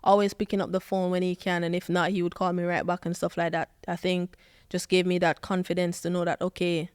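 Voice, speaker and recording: Jamaican woman in her 20s, podcast guest, lowish smooth voice, dry close sound. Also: fairly quick, no room sound. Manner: animated storytelling, acts out quoted lines. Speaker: footballer guest. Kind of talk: podcast interview.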